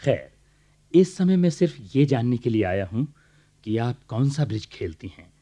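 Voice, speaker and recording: Club president for radio drama: courteous, fussy official, mellow mid voice, halting and deferential. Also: male voice, mid-range voice, very animated. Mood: eager, deferential. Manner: hesitant, deferential, peppers speech with English. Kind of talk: radio play acting.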